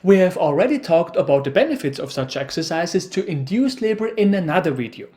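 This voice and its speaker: A man's voice: high voice, big pitch swings, fairly quick, darkish tone. A man with an Austrian accent, presenting an educational video as the science expert, with dry, teasing humor.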